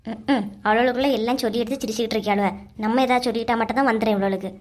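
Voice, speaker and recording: A woman's voice: fairly high pitch, steady tone, racing, lightly acted. A woman voicing touchy, bickering village women in a comedy cartoon, scolding and grumbling in Kanyakumari Tamil slang.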